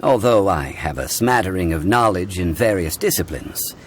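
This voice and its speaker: An actor voicing a calm, learned gentleman in a video game, speaking in a measured, formal, explanatory way. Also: sits low, slight background noise. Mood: composed, polite.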